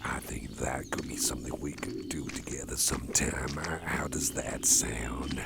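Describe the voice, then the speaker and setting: Deep gravelly whispering man, slow. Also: very noisy. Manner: slow, gentle, mostly whispered, soothing. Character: caring male boss carrying the listener. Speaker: ASMR roleplay performer. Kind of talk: soft-spoken ASMR roleplay. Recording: loud outdoor nature ambience behind. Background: rural outdoor sounds with birds.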